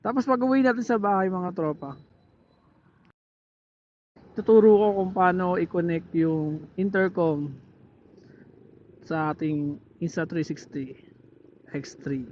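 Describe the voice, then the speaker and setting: A Filipino man with a fairly high voice talks through a casual how-to vlog. He speaks at an unhurried pace, addressing viewers informally like friends. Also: darkish tone. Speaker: motovlogger. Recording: muffled helmet intercom mic, background noise.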